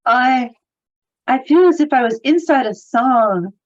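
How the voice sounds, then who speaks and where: A woman's voice: sits high, lively intonation, unhurried, lo-fi sound. A woman reading a part theatrically at a table read of a fantasy script.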